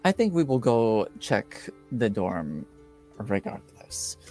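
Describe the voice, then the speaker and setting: A man's voice: lowish voice, very animated, slow pace, dark tone, soft background music, heavily acted. A man voicing a stern, impatient noble father in a tabletop game, curt, formal and coldly insistent. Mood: firm.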